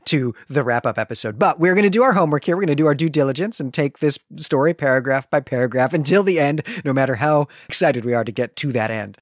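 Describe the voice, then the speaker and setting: A man's voice: a little high, fast talker, hardly any pauses, no echo, clean studio sound. A man co-hosting a podcast, talking through a story in an articulate, explanatory way. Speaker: podcast host. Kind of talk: literary discussion and recap.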